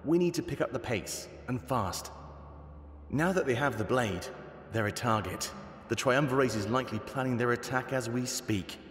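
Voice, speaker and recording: A man's voice: medium pitch, normal speed, fairly bright tone, slight background noise, close to an everyday voice. A man playing a solemn, calculating animal rebel leader in a fantasy video game. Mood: urgent, commanding. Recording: echoey cavernous reverb.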